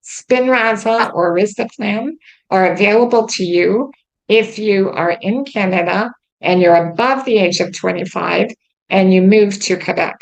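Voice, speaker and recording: A woman hosting a casual chat, with a gravelly, creaky edge to her voice. She talks earnestly and chattily, filling her pauses with ums. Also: medium pitch, poor audio. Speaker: host. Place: lives in Quebec.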